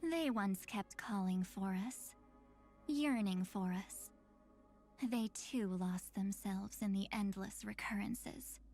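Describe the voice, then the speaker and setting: An actress playing a wistful, enigmatic young woman made of memory in a video game, speaking theatrically with breathy sighing phrases. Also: mid-range voice, slow pace, darkish tone, faint music behind. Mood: wistful, mysterious. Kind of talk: scripted game character voicelines.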